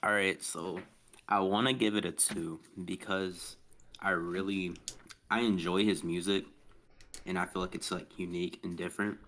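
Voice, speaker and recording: A man with a very low voice gives his score softly and with some doubt. He sounds far from the mic, with a faint hiss and some clicks behind him. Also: steady tone, normal speed. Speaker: panel judge.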